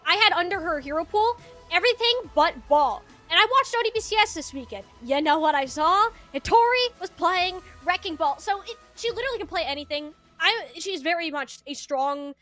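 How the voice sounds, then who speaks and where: High-voiced, chatty female esports commentator. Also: lively intonation, normal speed, fairly bright tone. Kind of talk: live analyst desk commentary, conversational. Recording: music behind the voice. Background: music.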